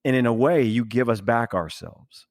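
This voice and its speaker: A man's voice: mid-range voice, moderate pace, no room sound, studio quality. A man hosting a podcast in casual, reflective conversation, speaking earnestly and emphatically as he searches for his words. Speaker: podcast host.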